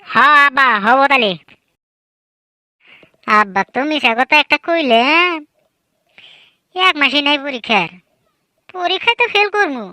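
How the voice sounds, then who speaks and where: Cartoon village son , female performer: sly teenage boaster, high and piercing, chatty slang. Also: animated, a little slow, long pauses, pushed and bright, dry recording, full character voice. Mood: pleading, dutiful, then scheming. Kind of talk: comedy cartoon voice acting.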